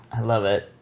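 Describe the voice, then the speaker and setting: A man giving casual host commentary in an agreeable, enthusiastic manner.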